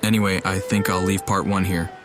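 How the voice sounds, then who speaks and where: A man's voice: sits low, flat delivery, fairly quick, loud music behind the voice. A man giving casual commentary as he plays through a video game. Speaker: gamer commenting on a playthrough.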